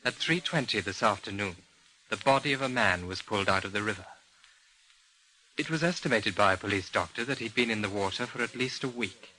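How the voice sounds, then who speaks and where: A serious, cool-headed man from an old radio mystery. His voice is fairly low with a hard, bright edge. He speaks deliberately and without flourish. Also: expressive, slight background noise, low-quality recording. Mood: grave. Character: grave, cool man bringing bad news, woman's lover. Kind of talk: old-time radio drama acting.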